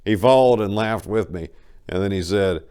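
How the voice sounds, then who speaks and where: Male narrator for dramatic audiobook, low-pitched, folksy first-person storyteller. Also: normal speed, dark tone, smooth. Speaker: narrator of a dramatic book reading.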